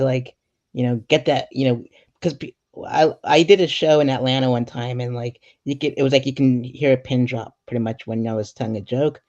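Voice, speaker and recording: A man hosting a casual comedy interview as a fellow comedian, halting but enthusiastic and agreeable, over an online call. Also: mid-range voice, a little mellow. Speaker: host, stand-up comedian. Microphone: online video call. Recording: dull lo-fi call audio.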